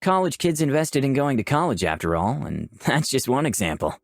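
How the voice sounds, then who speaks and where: Animated, playful player reading aloud, dry sound. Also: medium pitch, a little fast, no echo. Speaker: player voicing the protagonist and narration. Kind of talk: playthrough of a visual novel, reading player lines aloud.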